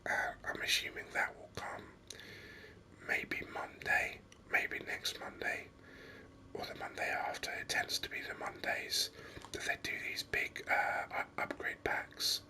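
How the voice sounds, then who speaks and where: A man whispers his way through video game commentary in ASMR style. The whisper is breathy and very bright, with sharp, crisp edges. He rambles on unhurriedly, close to the mic. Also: measured pace. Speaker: gaming video host.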